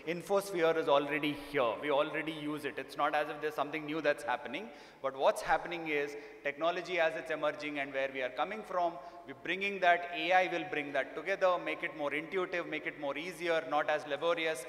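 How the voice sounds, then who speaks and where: A middle-aged man moderating a conference panel off the cuff, haltingly, with repeated phrases, on a stage microphone. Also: a little high, fairly bright tone. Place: large conference hall stage, indoors. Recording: echoey hall, amplified stage sound.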